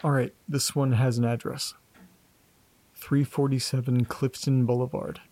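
Slow, gravelly man acting an exasperated young guy. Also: mid-range voice, little pitch movement, darkish tone, natural voice. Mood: reading aloud. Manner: casual, sweary, muttering asides. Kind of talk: audio drama monologue, reading aloud and muttering to himself.

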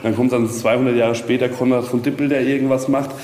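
Male castle guide, middle-aged, medium pitch, casual rambling explainer, heavily roomy sound. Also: level tone, fast, darkish tone. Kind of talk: casual explanatory interview talk. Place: reverberant space at a castle. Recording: echoey hall, faint ambient noise.

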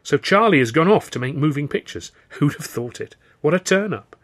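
A man voicing a sharp, teasing young mother in an audiobook, easily exasperated. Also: a little high, expressive, a little fast, pitched above the performer's own voice. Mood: brisk, teasing. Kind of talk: audiobook character dialogue.

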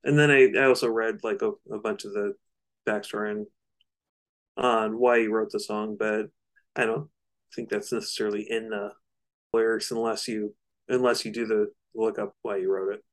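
A man co-hosting a casual discussion of music, speaking in hesitant, halting phrases. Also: fairly low pitch, little pitch movement. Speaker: music discussion podcast co-host.

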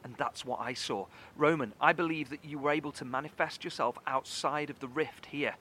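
A man's voice: fairly high pitch, fairly quick, bright, forceful tone, a little hiss or hum. An actor playing an inquisitive, earnest man in a horror audio drama, probing and analytical as he speaks. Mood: intrigued, urgent. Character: inquisitive, earnest man piecing a mystery together.

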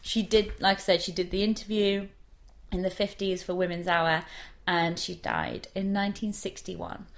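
A woman's voice: on the low side, little pitch movement, normal speed. Young woman, podcast co-host, sarcastic, sweary, casual storytelling. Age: 20s.

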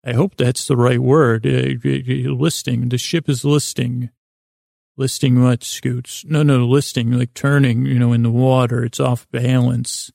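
A man hosting a sleep podcast, meandering through a halting, self-correcting bedtime monologue in a creaky voice. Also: mid-range voice, little pitch movement, a little mellow, dry recording, studio quality.

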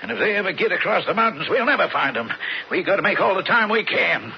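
A man's voice: high voice, lively intonation, fast, gravelly. A man playing a determined western trail pursuer with clipped, insistent talk in an old-time radio drama. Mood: urgent, determined. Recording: dull, faintly noisy radio broadcast.

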